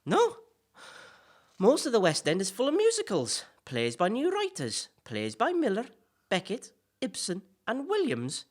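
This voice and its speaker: A woman's voice: on the high side, wildly swooping pitch, a little slow, heavily acted. A woman playing a chatty, cheeky male celebrity actor hosting a podcast in an audio play, hesitant at times and bluntly teasing. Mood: heated, mocking. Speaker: podcast host. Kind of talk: audio play dialogue in interview form.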